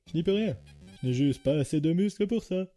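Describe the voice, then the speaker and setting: A man's voice: a little high, expressive, fairly quick, mellow, faint music behind, close to an everyday voice. A man voicing a laid-back, reluctant skeleton in a comic dub, talking in a laconic, casual way.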